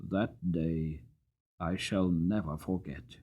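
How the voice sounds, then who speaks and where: A man's voice: low-pitched, fairly even intonation, slow, warm tone, husky, pitched below the performer's own voice, heavily acted. A man voicing an old cavalry horse in a storybook, proud and earnest, recounting war with dramatic delivery. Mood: grave. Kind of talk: dramatic audiobook character reading.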